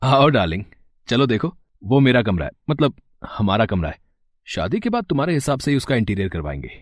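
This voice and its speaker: A man's voice: medium pitch, very animated, a little fast, no room sound, clean studio sound. An actor voicing an arrogant, spoiled young rich husband who scorns his wife in a cartoon story. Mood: fawning. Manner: scornful, harsh, shouting insults. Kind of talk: cartoon voice acting.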